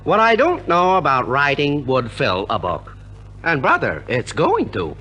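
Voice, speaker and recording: Brash, sharp-voiced showman, wildly animated. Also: a little high, a little slow, breathy edge, heavily acted. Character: brash, confident male American showman songwriter. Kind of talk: 1950s radio drama acting. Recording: 1950s radio transcription recording.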